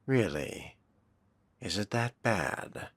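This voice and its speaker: A man's voice: lowish voice, expressive, slow pace, husky. A man role-playing in character through a video game, muttering dry, sardonic commentary to himself. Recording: loud music behind the voice.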